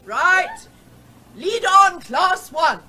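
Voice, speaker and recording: An actress playing a flustered schoolteacher who is herding children in an old radio drama. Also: female voice, very high voice, very animated, extremely slow, background noise, heavily acted. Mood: anxious. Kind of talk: 1970s radio drama acting. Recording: loud music and crowd behind.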